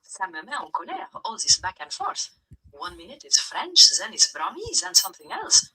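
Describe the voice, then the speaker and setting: A woman's voice: medium pitch, big pitch swings, moderate pace, sharp tone, a little hiss or hum, rough recording. A synthetic woman's voice of an AI chatbot, replying in a polite, stern and formal way. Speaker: AI chatbot persona. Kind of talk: formal scripted replies.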